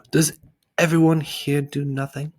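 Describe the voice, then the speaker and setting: A man reading a storybook aloud and voicing a bored, puzzled young boy in a husky, questioning voice. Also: fairly high pitch, steady tone, slow, fairly bright tone, no room sound, pitched above the performer's own voice, full character voice.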